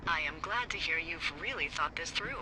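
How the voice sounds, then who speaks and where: A woman's voice: low-pitched, lively intonation, moderate pace, bright, forceful tone, full character voice, rough recording. A woman playing a wry, teasing fire lookout supervisor in a video game, talking playfully over a walkie-talkie. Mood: dry, teasing. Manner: playful, sardonic. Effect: walkie-talkie radio. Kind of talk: naturalistic video game voice acting. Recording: radio-filtered voice with clear background noise.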